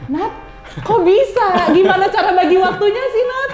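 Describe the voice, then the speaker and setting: A woman's voice: very high voice, normal speed. A young woman hosting a casual, chatty interview, reacting often in an informal way. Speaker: host. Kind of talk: casual talk-show interview. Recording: roomy echo with music behind.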